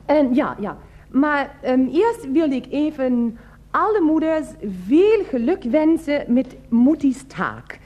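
A woman's voice: sits high, lively intonation, takes it slowly, lo-fi sound. An actress playing a sweet, clingy, lovesick woman with a German accent in a radio drama, hesitant, cooing and pleading.

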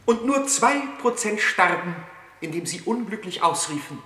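High, sharp-voiced officious minister. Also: male voice, animated, normal speed, roomy sound, long reverb tail. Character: officious insurance minister reciting statistics. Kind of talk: satirical German radio play acting.

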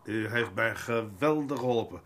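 Seasoned, musing police detective, noisy recording. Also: male voice, lowish voice, lively intonation, a bit bright. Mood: polite, probing. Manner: deliberate, hesitant, musing, fatherly. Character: seasoned, unhurried, shrewd male police detective. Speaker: actor in a detective radio play. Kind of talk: naturalistic radio drama dialogue. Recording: audible background noise.

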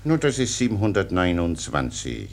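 An actor playing a cheerful, simple-minded soldier who rambles with naive, obedient earnestness in a German radio play. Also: male voice, low voice, big pitch swings, moderate pace. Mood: naively obliging.

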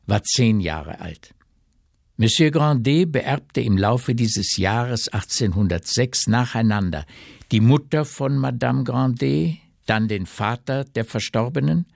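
Low-voiced guy narrating a radio play, really lively melody, dry sound. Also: vocal fry, no echo. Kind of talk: literary radio drama narration.

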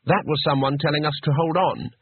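A man's voice: fairly high pitch, a little fast, slightly rough, dry recording, phone-quality sound. A man voicing a naive, literal-minded, helpful fellow who speaks earnestly and bluntly in an audiobook fantasy story.

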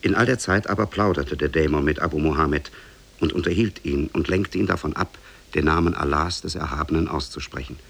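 A grave storyteller with an extremely deep, creaky, husky voice. He narrates a storybook tale in the measured, formal style of 1950s radio. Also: fairly bright tone, exaggerated voice.

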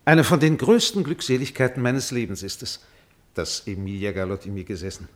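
An actor playing a deferential but proud and ardent court painter in a classic German radio play, speaking in a courtly, emphatic, declamatory way. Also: male voice, mid-range voice, fairly quick, close to an everyday voice. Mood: rapturous.

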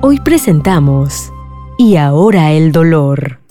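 A woman's voice: low voice, big pitch swings, slow, mellow. A woman announcer reading a scripted radio drama introduction. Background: guitar music.

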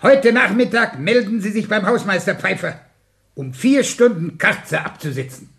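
An actor playing a pompous, outraged school headmaster in a German radio play. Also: male voice, high-pitched, animated, moderate pace, vocal fry, fairly bright tone, heavily acted. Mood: stern, dismayed. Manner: pompous, indignant.

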